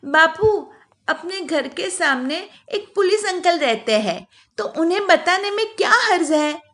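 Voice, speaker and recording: A woman's voice: very high-pitched, expressive, measured pace, a bit bright, pitched above the performer's own voice, exaggerated voice. A woman voicing a smart, earnest little girl who pleads and explains eagerly in a children's story.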